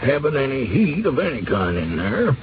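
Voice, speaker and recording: An actor playing a kindly, amiable American country doctor in a 1930s radio drama. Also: male voice, medium pitch, very animated, moderate pace, close to an everyday voice. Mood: reassuring. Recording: noisy, muffled 1930s radio recording.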